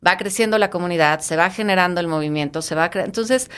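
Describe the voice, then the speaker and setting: A middle-aged woman with a Mexican accent and a fairly low voice. She talks eagerly as an interview guest, often restarting and repeating words as her thoughts run ahead. Her tone stays casual and conversational. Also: quick pace, a bit bright, clean tone. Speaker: guest, fitness studio founder.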